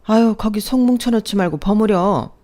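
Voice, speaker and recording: A woman's voice: mid-range voice, moderate pace, voice pushed up, close to an everyday voice. A woman voicing a domineering elderly mother-in-law who scolds and orders her daughter-in-law around. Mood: scolding. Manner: sharp, scolding, commanding.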